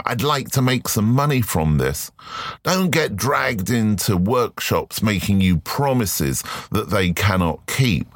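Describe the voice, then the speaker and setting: A man hosting a solo podcast, his voice deepened by illness, talking in a reflective, measured way and giving advice. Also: no room sound, clean studio sound. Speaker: podcast host.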